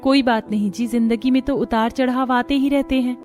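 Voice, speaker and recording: A woman acts out a young new bride in a Hindi cartoon story, her voice high and earnest. She is meek, polite and pleading, and music plays behind her. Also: steady tone, normal speed, a little mellow, lightly acted. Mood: gentle, reassuring.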